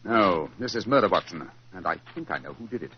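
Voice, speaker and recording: A man playing a brisk, commanding English detective in a 1940s radio drama, speaking in a clipped, decisive way. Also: sits low, lively intonation. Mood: certain, analytical. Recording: muffled, noisy old 1940s radio recording.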